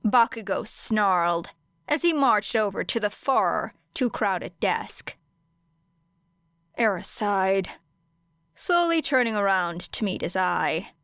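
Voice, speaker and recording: A woman narrates fiction at an unhurried pace. Her voice is smooth and clear, in a very dry, close recording. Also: medium pitch, animated, a bit bright, no echo. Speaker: audiobook narrator.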